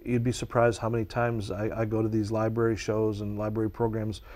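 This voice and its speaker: A man's voice: lowish voice, flat delivery, a little fast, a little mellow. A man whose first language is Czech, answering interview questions at length in an earnest, passionate way as a nature educator.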